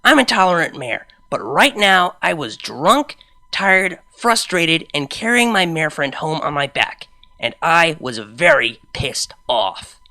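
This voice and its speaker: Woman playing a low, animated, brash DJ mare. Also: takes it slowly, heavily acted. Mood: wry, tired. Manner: chatty, slangy, wry storytelling asides. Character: brash young female unicorn nightclub DJ, sardonic, exasperated. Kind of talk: first-person fan fiction narration.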